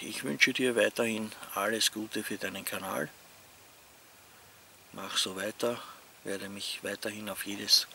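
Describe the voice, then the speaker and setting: Austrian male vlogger, fifties, low creaky voice with a bright edge, casual talk outdoors over faint ambient noise. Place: outdoors among leafy trees.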